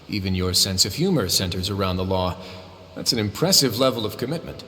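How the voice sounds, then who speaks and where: Bright, wry teasing fortress boss, male. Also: medium pitch, in a large hall, a little hiss or hum. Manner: dry, teasing, casual. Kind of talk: video game voice acting.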